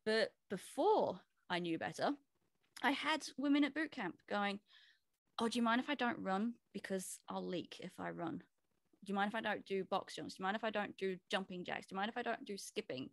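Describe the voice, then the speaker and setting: A woman's voice: medium pitch, moderate pace, vocal fry, dry recording. NZ woman trainer, chatty talk on a video call, dry close sound. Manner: chatty, explains with quoted asides. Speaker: personal trainer presenting to a trainers' meeting. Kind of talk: casual presentation over a video call.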